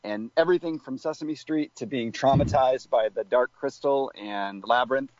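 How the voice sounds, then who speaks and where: A man's voice: medium pitch, moderate pace, muffled recording, background noise. A man answering as a podcast guest, reflective and hesitant, with many fillers as he gathers his thoughts.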